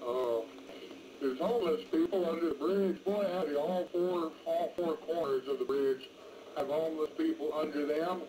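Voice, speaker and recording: Folksy male ham operator, slightly high-pitched, rambling casually over a noisy radio transmission. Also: moderate pace, dark tone. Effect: two-way radio transmission. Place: mobile, in a pickup truck.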